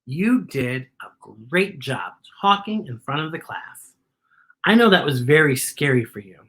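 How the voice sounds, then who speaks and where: A story time host voicing a kind, encouraging woman schoolteacher with a gentle, praising manner. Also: mid-range voice, animated, unhurried. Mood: gentle praise.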